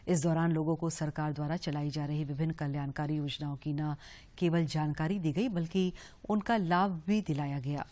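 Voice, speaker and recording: Low-voiced female news anchor. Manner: steady formal delivery. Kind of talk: scripted news reading.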